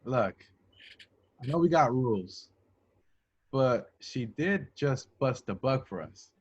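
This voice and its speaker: A man's voice: mid-range voice, expressive, slow pace, a little mellow, breathy edge. A man playing a cocky, indignant young male secret agent with streetwise talk in an amateur table read. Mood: pleading, protesting. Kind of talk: casual at-home script table read. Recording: dull lo-fi home recording.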